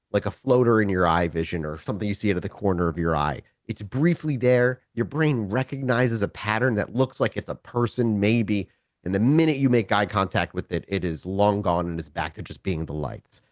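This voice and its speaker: A man running a tabletop game, improvising descriptive narration. His lowish voice moves expressively up and down, captured close and dry. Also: no echo. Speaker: storyteller, game master.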